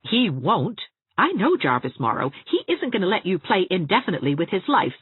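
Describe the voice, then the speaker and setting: A woman voicing a brisk, exasperated young woman in an audiobook, sharp, insistent and scolding. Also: on the low side, big pitch swings, husky, dry recording, voice pushed up, full character voice. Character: brisk, sarcastic, exasperated young woman, a bossy loyal friend. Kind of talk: audiobook character voice. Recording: thin phone-like narrow-band sound.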